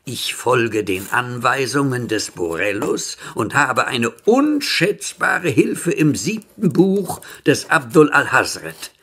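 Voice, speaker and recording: An actor playing a sinister, imperious merchant-alchemist in a radio drama, dictating formally and deliberately. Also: male voice, medium pitch, sing-song, fairly bright tone, husky, heavily acted. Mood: secretive, calculating.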